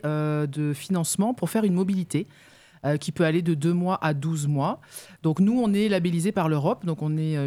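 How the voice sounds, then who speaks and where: A woman's voice: fairly low pitch, warm tone. A woman, a guest on local radio, explaining her work at length with many hesitations. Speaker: youth mobility officer, guest. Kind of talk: explaining in an interview.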